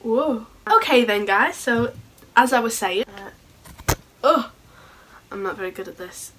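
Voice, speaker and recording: A woman with a sore throat and a hoarse voice, chatting casually and a bit scatterbrained through a makeup tutorial. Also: a little high, animated, measured pace, fairly bright tone, a little hiss or hum. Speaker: beauty vlogger.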